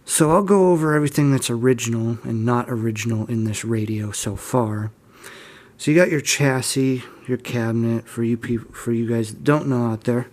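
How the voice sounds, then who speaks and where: Male hobbyist host, early twenties. Mid-range pitch, informal and unscripted, readily admits what he doesn't know. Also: normal speed, darkish tone. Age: early 20s. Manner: unscripted, unsure, self-deprecating. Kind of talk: casual informal show-and-tell.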